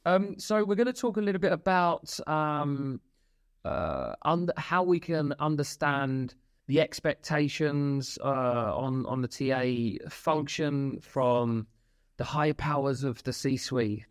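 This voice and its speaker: A man hosting an interview podcast, upbeat and encouraging with quick interjections. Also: on the high side, expressive, clear, resonant tone. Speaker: podcast host.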